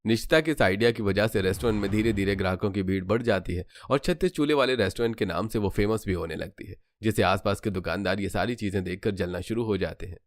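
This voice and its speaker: A man's voice: on the low side, fast, few pauses. A man narrating a cartoon story in a scripted storytelling style.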